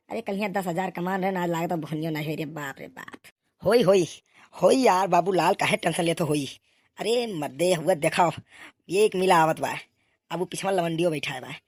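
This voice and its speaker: A woman's voice: fairly low pitch, dry recording, clean studio sound, natural voice. A woman voicing bickering village policemen in a comic cartoon, with an eastern Uttar Pradesh accent and exclamatory, colloquial banter. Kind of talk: comic cartoon dialogue in rustic dialect.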